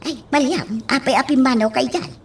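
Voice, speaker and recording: A woman's voice: sits high, normal speed, breathy edge, exaggerated voice. A woman playing a nosy, suspicious friend in an Ilocano comedy radio drama, full of questions and exclamations, with an Ilocano accent. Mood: curious.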